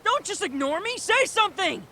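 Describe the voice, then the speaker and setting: A man's voice: extremely high pitch, very animated, moderate pace, sharp tone, a little hiss or hum, exaggerated voice. An actor playing an impulsive, desperate teenage boy in a dramatic video game cutscene, with a pleading, outspoken delivery. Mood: frantic, indignant.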